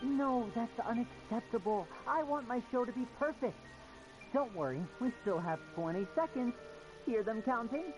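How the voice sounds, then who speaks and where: An actor playing a young stage magician in a video game, with a theatrical, playful and polite manner. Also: male voice, very high voice, animated, normal speed, muffled recording. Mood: assured. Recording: game audio with loud music behind.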